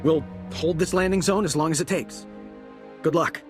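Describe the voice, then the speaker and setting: Man playing an eager, high-voiced young rebel. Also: moderate pace, faint music behind, lightly acted. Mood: earnest. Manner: breathless, eager, loud when excited. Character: excitable, earnest young male accountant turned barricade defender. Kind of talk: video game cutscene acting.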